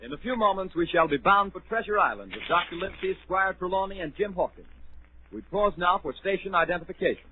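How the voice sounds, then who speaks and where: Male network announcer, high-set voice, formal 1930s delivery over music. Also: expressive, quick pace. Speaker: radio announcer. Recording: tinny old radio with music behind.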